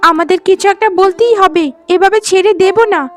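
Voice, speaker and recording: A woman's voice: extremely high pitch, a little fast. A woman voicing a protective, righteous elder sister-in-law in a cartoon, speaking in a firm, reproachful, concerned way. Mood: stern, reproachful. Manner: coaxing yet firm, reproachful. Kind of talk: melodramatic cartoon voice acting. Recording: music behind the voice. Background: music.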